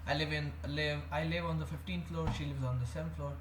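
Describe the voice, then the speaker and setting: Halting young man. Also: fairly high pitch, steady tone. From India. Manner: hesitant, halting, many fillers and restarts. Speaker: vlogger. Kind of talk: rambling confessional talk to camera. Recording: echoey room with faint background hum.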